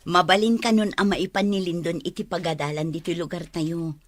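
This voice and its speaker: A woman's voice: lowish voice, fairly even intonation, fairly quick, dry recording, lightly acted. A woman playing a caring middle-aged mother in a Filipino radio drama, with a Filipino accent. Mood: glad news.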